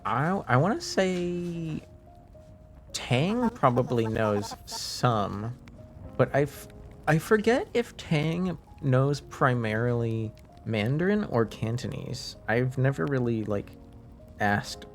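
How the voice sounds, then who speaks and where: Slow, gravelly man chatting on a livestream. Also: mid-range voice, sing-song. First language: French. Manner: hesitant, halting, often trailing off. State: slightly under the weather, deeper than usual, clearing throat. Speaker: live streamer, host. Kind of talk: casual livestream chat with viewers. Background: faint music.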